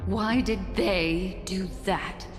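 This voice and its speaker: A woman's voice: medium pitch, very slow. An actress playing a cool, sceptical, composed woman in a video game, speaking in a formal, reserved manner. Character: cool, sceptical, composed female memory keeper. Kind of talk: dramatic video game voice acting. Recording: reverberant hall, clear music behind.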